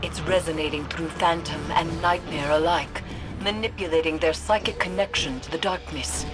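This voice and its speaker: A grim, cryptic mystic from a video game voiced by a woman, low in pitch. She speaks with ominous, measured solemnity over clear music. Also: lightly acted. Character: grim, cryptic female mystic guiding a warrior. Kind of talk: dramatic video game acting.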